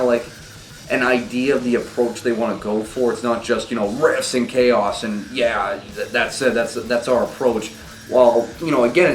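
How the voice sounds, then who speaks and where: Young man, sick with a sore throat, laid-back rambling record talk; low voice, distant roomy camera audio. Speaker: vinyl collection vlogger. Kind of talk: casual record-collection talk. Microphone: camera mic. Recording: roomy, distant camera mic, faint noise.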